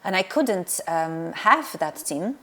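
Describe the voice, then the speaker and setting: A woman's voice: lowish voice, expressive, takes it slowly, a bit bright. A middle-aged woman from Poland with a Polish accent, a dentist answering interview questions hesitantly, with many fillers and restarts. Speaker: dentist and practice owner.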